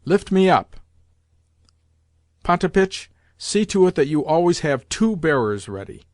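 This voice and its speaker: A man's voice: on the high side, big pitch swings, unhurried, darkish tone, no echo, studio quality, pitched above the performer's own voice. A man reading aloud, voicing an imperious old grandmother who scolds and gives orders bluntly.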